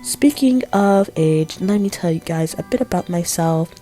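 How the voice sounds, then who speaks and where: Teen girl, hoarse and raspy from a cold, rambling art-vlog voice-over, mellow and low-set, faint music underneath. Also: animated, normal speed. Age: teens. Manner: chatty, rambling, apologetic asides. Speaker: art vlogger. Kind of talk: casual voice-over narration.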